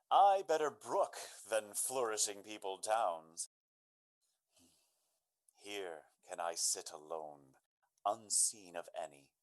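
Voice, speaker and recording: A man playing a lovesick banished young nobleman, speaking slow, lyrical verse in an amateur radio drama. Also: fairly low pitch.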